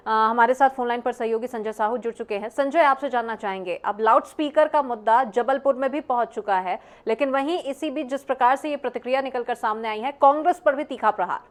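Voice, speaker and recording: Female news anchor, slightly high voice, live bulletin presenting style.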